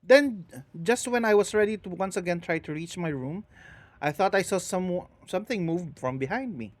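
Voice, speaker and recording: A man's voice: high-pitched, expressive, normal speed. A man reading as a grieving, shaken professor. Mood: haunted. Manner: shaken, anguished first-person retelling. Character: grieving middle-aged male professor recalling horror.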